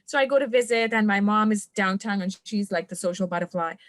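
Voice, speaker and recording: A woman's voice: mid-range voice, fast, no room sound, studio quality. A woman from Trinidad, a coach, speaking as a podcast guest in an earnest, reflective way and laughing often. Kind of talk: podcast conversation.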